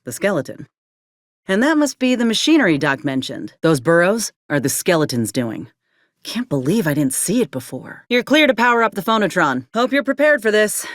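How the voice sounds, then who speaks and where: A woman's voice: mid-range voice, sing-song, normal speed, no room sound, clean studio sound, natural voice. Woman playing a folksy Southern frontier woman, swinging intonation. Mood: matter-of-fact. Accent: Southern American. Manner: plainspoken, wry, folksy. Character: plainspoken, wry frontier woman, tough and observant companion. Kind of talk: video game companion dialogue.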